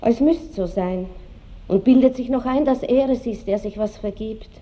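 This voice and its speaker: A woman's voice: fairly high pitch, normal speed, dark tone, natural voice. An actress playing a grand, worldly Viennese noblewoman with an Austrian accent in a theatrical radio drama. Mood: bitter musing. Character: aristocratic Viennese lady, imperious, worldly, wistfully bitter. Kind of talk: 1960s German radio drama acting. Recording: dull 1960s radio recording, faint noise.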